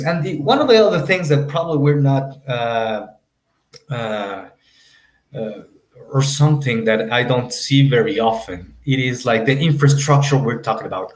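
Man in his 30s, English, rambling with fillers, mid pitch, echoey home room on a webcam. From Mexico. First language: Spanish. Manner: meandering, filler-heavy, leans on "you know". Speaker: panel moderator. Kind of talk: academic panel discussion. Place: home room on a video call. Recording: roomy echoey video call audio.

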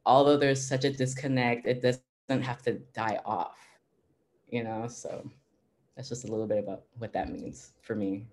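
Soft-spoken young woman artist, reflective. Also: rumbling low. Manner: soft, reflective, frequent "you know, like". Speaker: exhibiting artist. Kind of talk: personal artist talk on a video call. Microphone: video call.

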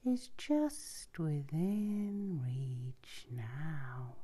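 A woman speaks extremely slowly in a mellow, fairly low voice. She is soft and breathy, often close to whispering, as she gently guides a sleep hypnosis session with soothing, drawn-out phrases. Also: very animated.

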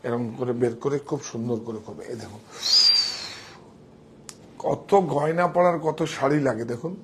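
A man's voice: mid-range voice, moderate pace, noisy background. A man, giving a casual, emphatic sales demonstration as a shop owner, often repeating himself, on a clip-on mic indoors. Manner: emphatic, repetitive. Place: indoor saree shop showroom. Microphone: wireless clip-on mic on chest.

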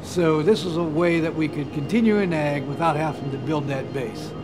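A middle-aged man from Ohio, a farmer and biodiesel producer, speaking in an interview in a measured, earnest, deliberate way. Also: on the high side. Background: background music.

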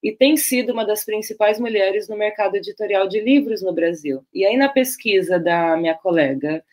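Brief: Brazilian woman presenting research from home over a webcam, unhurried, hesitant and searching for words. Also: medium pitch, darkish tone. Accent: Brazilian Portuguese. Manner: loose, searching delivery with many fillers and restarts. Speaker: guest speaker. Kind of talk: academic talk over slides. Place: home room, on a video call.

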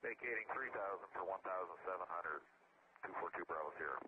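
A man's voice: low voice, quick pace. A man flying as a pilot, giving clipped readbacks and replies over aviation radio. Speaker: aircraft pilot. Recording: narrow-band cockpit radio, hiss.

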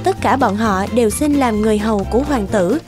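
A woman giving scripted storybook narration for an animated fairy tale. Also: on the high side, fairly quick, music in the background.

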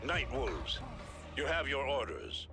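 Game officer role: stern, authoritative commander, slow orders with strong pitch swings. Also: male voice, huge pitch swings, vocal fry, music in the background, heavily acted. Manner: deliberate, authoritative. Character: stern human military commander ordering troops against aliens. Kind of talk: video game voice acting.

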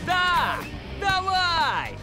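An actor playing a cocky, energetic young male footballer in an animated cartoon. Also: very high-pitched, extremely slow, soft background music, full character voice.

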